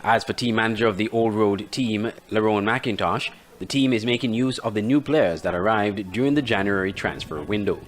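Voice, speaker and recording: A man's voice: fairly low pitch, moderate pace, hardly any pauses. A man reading scripted sports news as a newsreader. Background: music bed.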